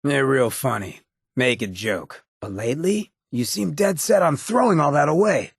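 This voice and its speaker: An actor playing a swaggering, sardonic but loyal male ghoul rebel in a video game, with a very raspy, gravelly American voice and a streetwise, folksy drawl. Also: medium pitch, animated, moderate pace, no echo, studio quality. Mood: stern, fed up. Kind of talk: video game companion voice acting.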